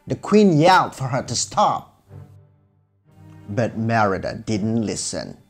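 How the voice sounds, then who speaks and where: Casting: male fairy-tale narrator, slightly raspy, lively intonation, measured storybook delivery. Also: on the low side. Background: music.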